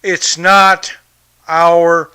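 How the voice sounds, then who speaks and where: A man's voice: fairly high pitch, flat delivery, extremely slow, bright, forceful tone, smooth. Profane, combative American man ranting. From United States. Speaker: podcast host. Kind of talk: profane political rant. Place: home in Brazil.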